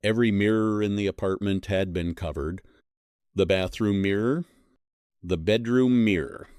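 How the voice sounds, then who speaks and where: A man with a low, deep voice narrates a scripted horror mystery. He builds suspense with measured, short dramatic phrases. The sound is dry and close, with hardly any room on it. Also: a little mellow, smooth, no room sound.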